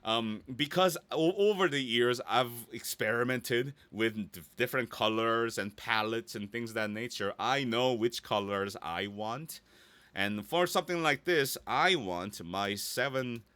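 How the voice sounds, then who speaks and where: A man's voice: medium pitch, sharp tone, no echo. A man giving a casual, playful tutorial, thinking aloud as he goes and often checking in with the listener. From Korea or United States. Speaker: voice actor giving a website tutorial.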